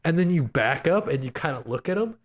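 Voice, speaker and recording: A man's voice: a little high, expressive, a little fast. A man co-hosting a podcast, chatting casually about video games in slangy, bro-style talk with swearing. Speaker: podcast co-host.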